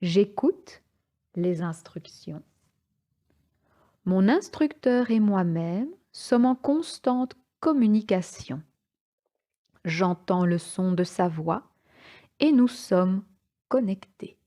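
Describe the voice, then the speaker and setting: A woman guides a yoga nidra relaxation as an instructor. Her voice is dark and mellow, at a medium pitch for a woman. She speaks very slowly and soothingly, setting short phrases apart with calm pauses.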